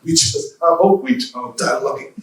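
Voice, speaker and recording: Slow man preaching in an echoey church. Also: on the high side, sing-song, a little hiss or hum. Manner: slow deliberate preaching with rhetorical questions. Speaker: guest speaker. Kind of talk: church lecture speech. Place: church hall. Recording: echoey hall from far off.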